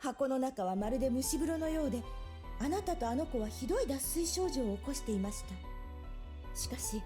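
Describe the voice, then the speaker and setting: High, expressive, polite young nurse. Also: female voice, fast talker. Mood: solemn. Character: young female clinic nurse, polite, secretly mischievous. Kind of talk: Japanese visual novel voice acting. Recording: game audio with music behind. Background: game music.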